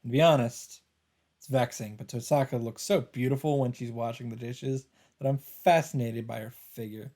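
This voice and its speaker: A man's voice: mid-range voice, steady tone, moderate pace, a little mellow. A man hosting a let's play, reading visual novel narration aloud. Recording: game music faintly behind. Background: game music.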